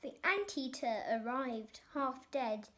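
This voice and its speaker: A child storyteller giving an expressive reading of a rhyming story. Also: high voice, slow.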